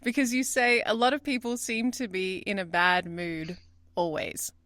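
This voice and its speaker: A woman hosts an interview, working through prepared questions in a measured way. Her voice sounds dry and close to the mic. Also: mid-range voice, little pitch movement, a bit bright, dry recording. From Australia. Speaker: interview host.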